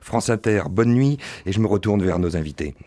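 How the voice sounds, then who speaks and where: A man's voice: lowish voice, fairly quick, heavy background noise. A man hosting an evening radio talk show, speaking in a rambling, emphatic way.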